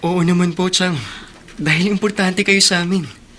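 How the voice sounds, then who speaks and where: An actor playing an earnest, grateful, forgiving young nephew in a Filipino radio drama, respectful and sincere. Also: male voice, high voice, steady tone, moderate pace, pushed and bright, a little hiss or hum. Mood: sincere, caring.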